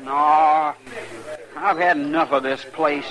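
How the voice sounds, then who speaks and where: A man's voice: a little high, fairly even intonation, slow pace, muffled recording. A young man playing a defiant young frontier man in a 1950s radio drama, sulky and rebellious. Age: young adult. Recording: old radio drama with crowd noise.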